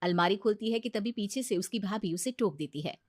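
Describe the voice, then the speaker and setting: A woman's voice: medium pitch, animated, brisk, dry recording, clean studio sound. A woman narrator reading a scripted bedtime moral story.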